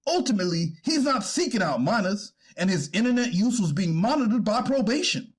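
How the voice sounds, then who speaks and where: A man playing a deferential defense attorney in a courtroom readers theater, formal and hesitant when challenged, with a husky voice. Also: sits high, big pitch swings, a little fast, heavily acted. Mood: earnest, pleading. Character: deferential male defense attorney pleading for his client. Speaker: actor reading a defense attorney.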